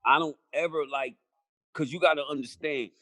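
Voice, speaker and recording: A man's voice: on the high side, steady tone, moderate pace, no echo. A middle-aged man, a podcast guest talking bluntly and with profanity, restarting his sentences often. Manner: blunt, profane, repeats himself when starting a thought; quiet, reflective when talking about regrets. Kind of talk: casual conversation.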